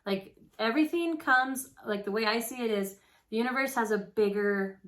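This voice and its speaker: A woman's voice: on the high side, moderate pace, a bit bright. A woman in her late thirties co-hosting a casual chat, speaking informally with plenty of "like" and "you know". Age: late 30s. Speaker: co-host of a witchy chat channel. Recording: faint background noise.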